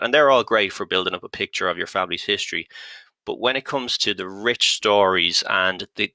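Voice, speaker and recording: A man in his thirties with a Dublin Irish accent, presenting a live webinar in a loose, chatty way. His voice sits low yet sounds very bright and forward, recorded dry and close. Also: vocal fry, no room sound. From Ireland. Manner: chatty, frequent ums and restarts. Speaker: genealogy company content strategist presenting a webinar. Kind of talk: live-streamed online talk.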